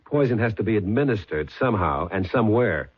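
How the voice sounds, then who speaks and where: An actor playing a persistent police sergeant with a clipped, probing way of questioning, in a 1970s radio drama. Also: male voice, fairly low pitch, lively intonation, fairly quick, breathy edge. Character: persistent middle-aged male police sergeant. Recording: tinny old radio broadcast.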